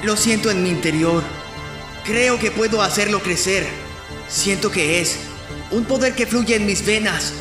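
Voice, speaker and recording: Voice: mid-range voice, a little slow, over music, natural voice. A performer playing a hot-headed, protective teenage boy in an anime fandub, emphatic and earnest. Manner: emphatic, impulsive.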